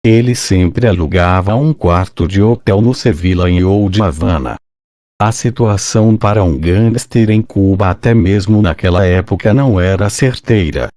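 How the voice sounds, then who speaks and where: Brazilian guy doing scripted documentary voiceover, lowish voice, dry sound. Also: a little mellow, no echo. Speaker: video channel narrator.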